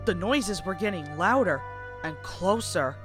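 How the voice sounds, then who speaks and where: Female storybook narrator, lively and expressive intonation, music bed under the read. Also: lowish voice, measured pace. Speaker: storybook reader.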